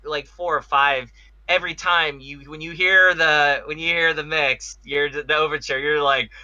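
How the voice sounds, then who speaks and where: A man's voice: fairly high pitch, fairly even intonation, normal speed, bright, forceful tone, no echo, low-quality recording. A man co-hosting a casual podcast over a video call, talking in an enthusiastic, rambling way. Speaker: podcast co-host. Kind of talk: casual chat.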